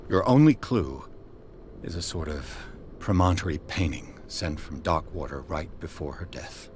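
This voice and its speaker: Casting: terse, jaded private eye, extremely low register, video game drama, faint noise behind. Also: male voice, expressive, unhurried. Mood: resigned, brooding. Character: jaded male private detective, curt and world-weary.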